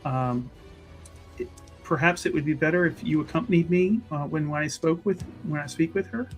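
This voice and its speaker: A man's voice: on the high side, normal speed, soft background music, close to an everyday voice. A man voicing a polite, fussy, well-traveled Chantry woman investigator in a tabletop game, speaking formally and hesitantly with repeated words. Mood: tentative, anxious. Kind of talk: tabletop roleplay dialogue.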